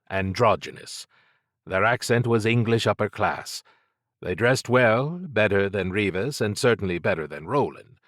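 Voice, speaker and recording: A male audiobook narrator with a fairly low voice, reading fiction very slowly. His storytelling is measured and dramatic, recorded close and very dry. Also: no room sound.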